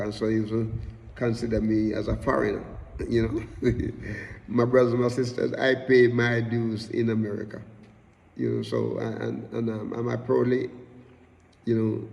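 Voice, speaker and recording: Slow, gravelly Jamaican preacher man. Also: mid-range voice, a little mellow, muffled recording. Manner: slow, emphatic preaching with repeated refrains and rising outbursts. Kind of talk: sermon. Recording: long hall reverb.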